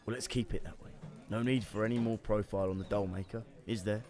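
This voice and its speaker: A man's voice: lowish voice, steady tone, quick pace, darkish tone, soft background music, close to an everyday voice. A man acting a smug, menacing henchman with oily mock politeness in a radio drama.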